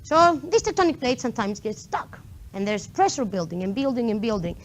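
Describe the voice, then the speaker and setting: Young woman, Greek accent, fairly high voice, chatty meetup talk, filler words, faint background noise. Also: a little fast. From Greece. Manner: lively, chatty with the audience, many fillers. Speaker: conference speaker. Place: lecture room before an audience.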